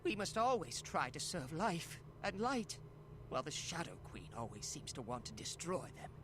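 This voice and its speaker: An actor voicing an excitable, solemn male scholarly creature in a video game, with dramatic, exclamatory delivery. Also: a little high, normal speed, noisy background. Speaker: quest-giver character. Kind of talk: theatrical video game dialogue.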